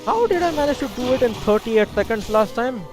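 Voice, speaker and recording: A man's voice: extremely high pitch, expressive, brisk. A man casually commenting as he streams a game, chatting and muttering asides as he plays. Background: faint game music.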